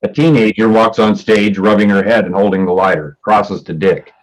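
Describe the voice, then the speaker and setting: A man's voice: lowish voice, moderate pace, low-quality recording. A man narrating scripted stage directions for a play reading.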